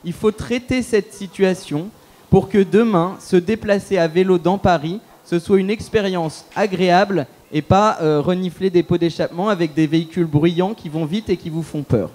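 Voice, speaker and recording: A man's voice: high voice, moderate pace, darkish tone. A man speaking on a conference panel, thinking aloud with frequent restarts.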